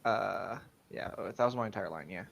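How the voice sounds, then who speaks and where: A man's voice: lowish voice, moderate pace, gravelly, a bit bright. A man chatting informally as a co-host, chipping in with casual, sweary reactions. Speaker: co-host reading and reacting to fan fiction. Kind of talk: casual chat and commentary.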